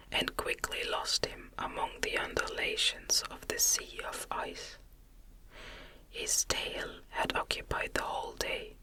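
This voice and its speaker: A woman gently reading a novel aloud in a breathy, unvoiced ASMR whisper, slow and deliberate. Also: very high voice, low-quality recording. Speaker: audiobook reader.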